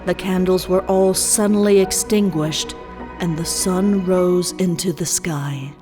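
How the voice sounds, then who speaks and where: A woman's voice: fairly low pitch, steady tone, measured pace. A woman reading scripted story narration as the narrator of a fan fiction. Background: music.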